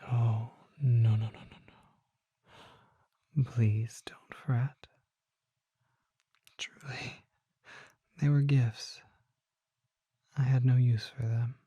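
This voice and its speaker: Courteous ageless vampire collector, played by an actor for ASMR roleplay: very breathy whisper, slow and genteel. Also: mid-range voice, steady tone, warm tone, no echo, highs cut off. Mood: gently reassuring. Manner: slow, genteel, formal phrasing. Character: courteous, curious old vampire collector and magic shopkeeper. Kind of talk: ASMR roleplay, soft intimate monologue.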